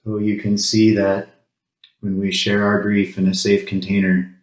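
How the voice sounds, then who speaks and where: A man leading a congregation through a solemn grief ritual, speaking in measured short phrases with pauses between them. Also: lowish voice, completely flat delivery. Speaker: congregation worship leader. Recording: roomy, echoing sound.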